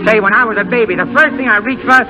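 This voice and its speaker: An actor playing a brash, carefree burlesque comic who talks in cocky slang, in 1930s radio drama style. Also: male voice, sits high, fast talker, natural voice, poor audio. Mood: defensive, joking. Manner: slangy, cocky wisecracking vaudeville talk. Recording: vintage 1930s radio broadcast, background music.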